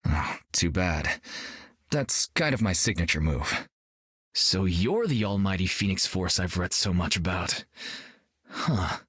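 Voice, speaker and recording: A man's voice: low voice, expressive, a little slow, fairly bright tone, slightly rough, heavily acted. A young actor playing a brash, cocky male martial artist in a video game, joking and upbeat. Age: young adult.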